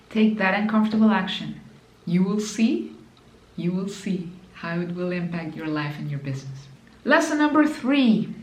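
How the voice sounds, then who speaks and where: A woman's voice: medium pitch, a little mellow, echoey room, poor audio. A woman with a Spanish accent, Spanish her first language, giving an emphatic motivational talk to camera as a business mentor, repeating key phrases and addressing the viewer directly.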